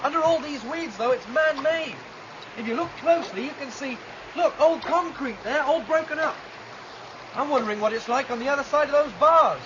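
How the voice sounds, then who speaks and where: An actor playing a bold, curious citizen in a 1970s dystopian radio drama, with a British accent and an eager manner. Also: male voice, very high voice, moderate pace. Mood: eager, coaxing. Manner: eager, coaxing, adventurous. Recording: dull, noisy old radio recording.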